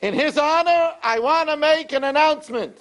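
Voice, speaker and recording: A man voicing a loud, boastful, quarrelsome rich man who speaks in a shrill, indignant, exclaiming way. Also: normal speed, poor audio.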